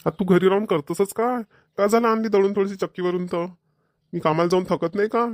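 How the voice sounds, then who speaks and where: A man's voice: high voice, steady tone, fairly quick, a little mellow, no room sound, close to an everyday voice. A man voicing village sons and husbands in a cartoon comedy, with a rural Vidarbha Marathi accent. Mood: grumbling. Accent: Maharashtrian, rural Vidarbha Marathi. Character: village men, dutiful sons and put-upon husbands.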